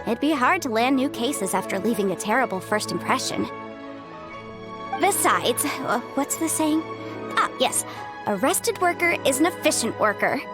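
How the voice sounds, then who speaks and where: A woman's voice: high-pitched, animated, normal speed, over music. An actress voicing a breezy, self-assured young woman consultant in a video game, articulate and playfully defensive. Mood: breezy, confident.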